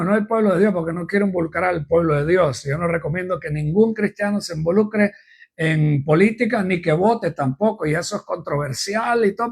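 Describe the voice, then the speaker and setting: A man's voice: sits high, gravelly, breathy edge. An older man from Cuba, preaching informally on a live program, rambling through his testimony and repeating refrains.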